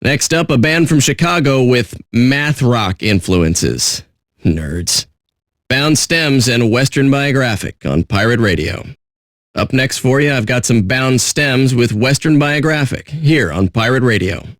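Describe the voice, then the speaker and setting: An actor playing a jaded pirate radio DJ with a sardonic, blunt, profane way of talking. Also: male voice, medium pitch, animated, a little slow, a bit bright, no room sound, studio quality. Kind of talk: irreverent radio DJ patter.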